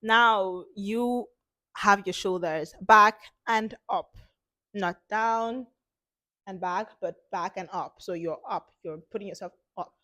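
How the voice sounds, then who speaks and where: A woman hosting an informal instructional talk as a confidence coach, speaking emphatically with repeated points and a slightly hoarse voice from a cold. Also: medium pitch, fairly bright tone, no echo.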